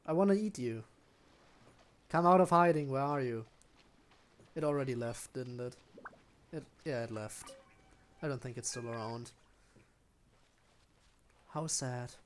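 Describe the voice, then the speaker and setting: A man's voice: medium pitch, unhurried, long pauses, slight background noise. A man giving casual live commentary while he streams a video game.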